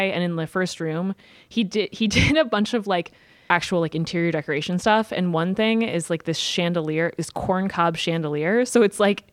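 A woman's voice: on the low side, no echo. A woman co-hosting a podcast in a casual, opinionated way, peppering her talk with "like". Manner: informal and opinionated, peppers speech with "like". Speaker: podcast co-host. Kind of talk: casual art chat.

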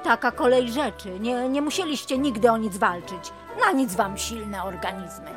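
A woman's voice: on the high side, moderate pace, music in the background, natural voice. A woman playing a domineering, sardonic aunt who scolds theatrically in a radio comedy drama. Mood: scolding, sardonic.

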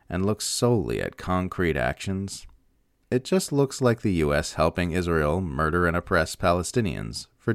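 A man with a very low, gravelly voice reads political commentary aloud. His delivery is emphatic and sardonic, moving widely in pitch, and the recording is close and dry. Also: dry recording. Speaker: narrator reading political commentary. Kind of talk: scripted opinion essay read aloud.

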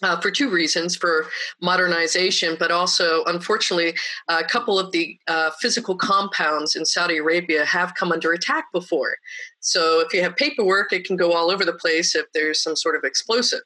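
A woman with a deeper voice, a podcast guest telling stories in a lively, anecdotal way and mimicking other people when she quotes them. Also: brisk, poor audio. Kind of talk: storytelling in a podcast interview.

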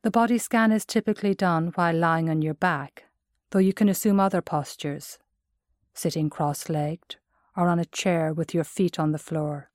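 A woman with an Irish accent gives measured, gentle guided meditation narration as a mindfulness instructor. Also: on the low side, no room sound, clean studio sound.

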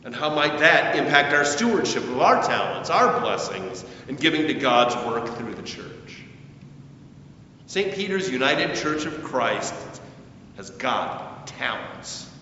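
Echoey, animated male preacher. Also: unhurried. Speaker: pastor. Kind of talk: sermon. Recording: echoey hall, distant mic, muffled, faint rumble.

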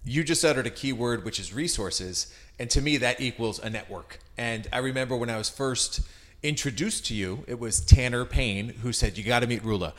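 A man's voice: mid-range voice, normal speed. A middle-aged man with an American accent, interviewing a guest in a chatty, informal and complimentary way. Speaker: interviewer, host. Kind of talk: casual conversational interview.

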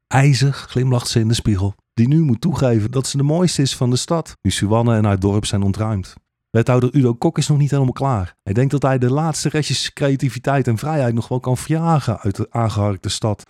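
A man's voice: mid-range voice, darkish tone, no room sound, clean studio sound. A man narrating a scripted satirical fairytale radio play in a sardonic, rhetorical manner.

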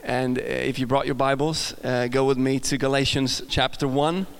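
Swedish guest preacher in a big hall. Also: male voice, medium pitch, little pitch movement, normal speed. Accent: Swedish, mixed with British and American. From Sweden. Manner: chatty, self-deprecating, many restarts. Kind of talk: sermon. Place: large church hall. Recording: long hall reverb.

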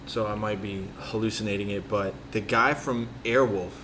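A man's voice: lowish voice, steady tone, moderate pace, pushed and bright. Rambling, profane man in casual chat. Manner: rambling, profane, loses his train of thought. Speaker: podcast host. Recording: faint background noise.

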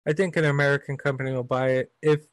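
A man's voice: medium pitch, flat delivery, fast, dry recording, studio quality. A man hosting a podcast over a video call, with a stutter, swearing casually and agreeing often. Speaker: podcast host.

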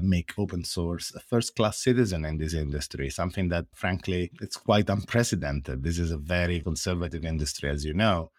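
A man with an Italian accent and a very low, deep voice talks through his work as a podcast guest. His delivery is earnest and explanatory, with frequent pauses and hesitant fillers. The sound is close and dry, with almost no room around it. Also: no room sound. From Italy. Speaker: guest, open source foundation director. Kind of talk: podcast interview answers.

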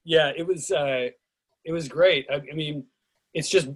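A man with a husky voice hosts a casual discussion. He talks in a halting, digressive way, like someone thinking aloud. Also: on the high side. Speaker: actor and director hosting a play reading.

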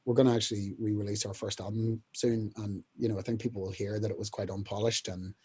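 Low, mellow-voiced man telling stories. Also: little pitch movement, fast talker. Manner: rambling, many fillers and restarts, clears throat often. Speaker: band singer, interview guest. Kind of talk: casual storytelling interview.

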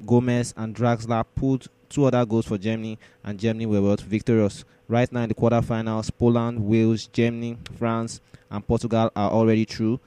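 A man's voice: on the low side, little pitch movement, fairly quick, mellow, smooth, dry recording. A man hosting a sports show, running through results and predictions in a roundup style.

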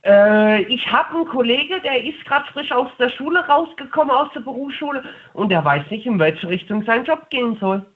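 A man's voice: very high voice, animated, normal speed, hardly any pauses. A man in his twenties calling in to a radio show, talking casually over a narrow phone line. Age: 20s.

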